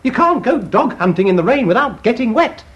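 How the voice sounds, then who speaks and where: An actor with an English accent playing a grumbling, put-upon husband in a farce, exasperated and dryly sarcastic. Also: male voice, very high voice, very animated, a little fast, lo-fi sound. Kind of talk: vintage British stage farce acting.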